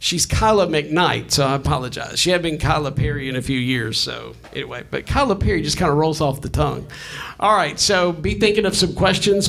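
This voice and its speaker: Higher-voiced man moderating. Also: gravelly, a bit bright. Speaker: panel moderator. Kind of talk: casual live panel moderating. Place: live panel event in a room.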